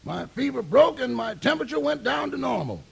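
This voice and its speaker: Gravelly, high-pitched wisecracking valet. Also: male voice, very animated, a little fast, full character voice. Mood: earnest storytelling. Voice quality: raspy, gravelly. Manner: emphatic storytelling with comic timing. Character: loyal wisecracking male valet chatting with a porter. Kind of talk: 1950s radio comedy acting.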